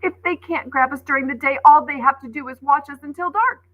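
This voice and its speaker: A woman voicing an anxious, excitable young girl in a storybook, urgent and demanding. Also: high voice, fairly quick, pitched above the performer's own voice. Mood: panicked, urgent.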